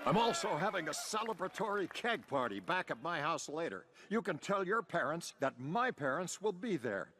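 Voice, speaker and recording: A man voicing a pompous, boyishly irresponsible town official making declamatory speeches in an adult cartoon, over loud noise. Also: a little high, sing-song, normal speed, few pauses, crowd noise. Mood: grandly announcing. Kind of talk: ceremonial speech, animated sitcom.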